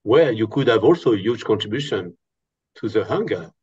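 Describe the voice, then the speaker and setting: An older man asking questions haltingly, with many pauses, on a dull-sounding video call. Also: on the low side, expressive, a little mellow, husky. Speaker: panel participant asking questions. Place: room at home, on a video call.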